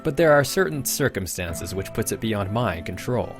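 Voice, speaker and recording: A suave, calculating young student council president played by a man speaks with formal, measured politeness and a smug edge. His low voice sits over clearly audible music in this anime dub. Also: lively intonation. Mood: formal, persuasive. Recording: music behind the voice.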